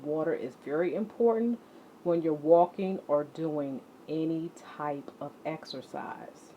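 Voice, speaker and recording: A woman teaching children as an exercise leader, speaking deliberately and emphatically, addressing her students directly. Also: sits low, mellow. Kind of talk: instructional lesson to children, read-along with warm-up exercises. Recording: muffled audio with clear background noise.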